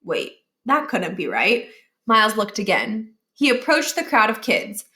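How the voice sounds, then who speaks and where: A woman reading a children's novel aloud as an expressive storyteller. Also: mid-range voice, measured pace. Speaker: storybook reader.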